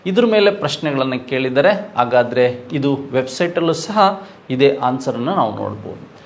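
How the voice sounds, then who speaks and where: A man's voice: mid-range voice, expressive, moderate pace, vocal fry, a little hiss or hum. A young man, teaching as an exam coaching teacher, walking through quiz questions and answering his own questions. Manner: explanatory, repeats key answers, asks and answers own questions.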